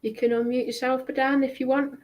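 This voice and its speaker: A woman hosting a livestream, chatting casually in hesitant, halting phrases. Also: sits high, little pitch movement, highs cut off. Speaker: livestream host.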